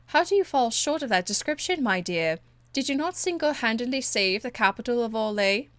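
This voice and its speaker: A woman's voice: on the high side, animated, brisk, fairly bright tone, no echo. A woman voicing a proud, elegant, condescending court enchantress in a video game, with polished, haughty phrasing. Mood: silky, teasing. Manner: haughty, polished, drawling endearments. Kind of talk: video game dialogue acting.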